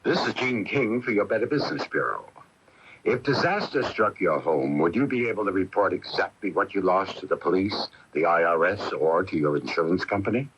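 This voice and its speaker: A man's voice: deep voice, animated, a little fast, fairly bright tone, husky. A man reading a scripted consumer advice announcement on the radio. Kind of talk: scripted public service announcement. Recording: dull radio broadcast.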